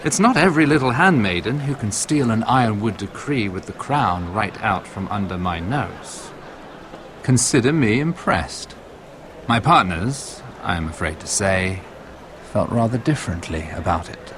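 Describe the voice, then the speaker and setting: Low, animated scheming nobleman played by an actor. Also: moderate pace, noisy background, natural voice. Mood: sly, persuasive. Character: scheming male noble merchant, smooth and calculating. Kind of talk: dramatic game cutscene acting.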